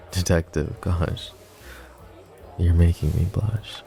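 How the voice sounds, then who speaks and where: A male voice actor playing a lovesick, brooding young mafia boss in an ASMR roleplay. He speaks softly and intimately, sometimes dropping to a whisper, with wry, self-mocking humor. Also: deep voice, level tone, takes it slowly, creaky, soft background music, exaggerated voice. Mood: flustered, teasing. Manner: soft, intimate, drops to whispers.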